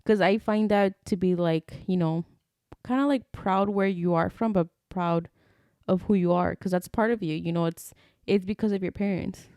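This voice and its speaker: Young female podcast co-host, low mellow voice, dry close mic, chatty with "like" fillers, drops into Spanish. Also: moderate pace, no room sound. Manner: chatty, switches between English and Spanish, many "like" fillers. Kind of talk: casual chat.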